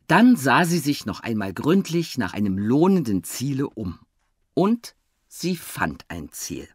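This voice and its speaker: A woman reading a children's story as an expressive audiobook narrator. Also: low voice, husky, no room sound, studio quality. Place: dry recording studio.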